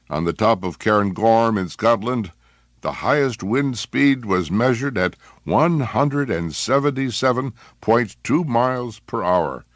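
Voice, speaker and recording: Guy announcer reading scripted credits, slow n measured, low-ish, very dry sound. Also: dry recording. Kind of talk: scripted narration.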